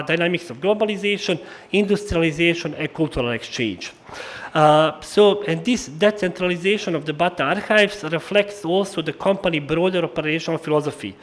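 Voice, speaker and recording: A man from former Yugoslavia with a Slavic accent gives a formal public lecture as a historian, haltingly, with frequent hesitations and repeated words. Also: a little high. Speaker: historian giving an annual lecture.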